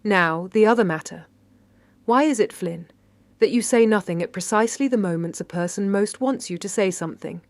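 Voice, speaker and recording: Actress as british lady sleuth from a 30s radio mystery, measured, dry wit, sharp and composed. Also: mid-range voice, no room sound, natural voice. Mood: wry, probing. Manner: measured, wry, precise. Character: shrewd, composed 1930s London lady detective. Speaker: narrator and lead character. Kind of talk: first-person detective audio drama narration.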